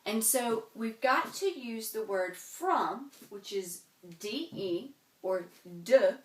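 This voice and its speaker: A woman's voice: a little high, animated, slow pace, a bit bright. A woman teaching a French grammar lesson, explaining patiently step by step and often checking in with "okay?". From United States. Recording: echoey room, voice from a distance.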